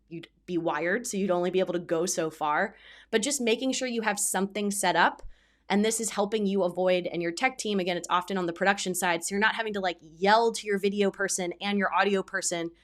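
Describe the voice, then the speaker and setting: A woman's voice: mid-range voice, steady tone, a little fast. A woman speaking as an event producer, giving practical advice in a casual, conversational explainer style with spoken examples. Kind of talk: casual explainer talk to camera.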